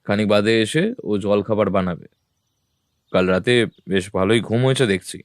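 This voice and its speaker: Brief: a man playing an unhurried detective with a low voice in a radio drama, dry close mic. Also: no room sound, natural voice. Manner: measured, matter-of-fact. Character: calm, composed male detective, middle-aged. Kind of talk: audio drama acting.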